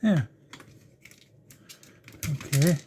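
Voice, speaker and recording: Older hobbyist presenter, slow pace, halting, casual hands-on narration, thinking out loud. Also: mid-range voice, a little hiss or hum. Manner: halting, musing aloud while working with hands. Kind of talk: casual unscripted teardown narration. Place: indoors at a workbench.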